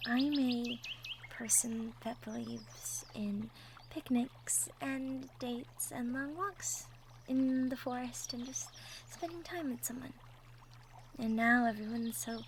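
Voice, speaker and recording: A woman playing a shy, sweet young cottagecore woman in love, speaking softly and hesitantly in an intimate roleplay audio drama. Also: on the high side, lightly acted. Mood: shy, wistful. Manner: hesitant, bashful, soft-spoken, trailing off. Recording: faint background noise, birdsong effects. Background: birdsong, outdoor nature sound effects.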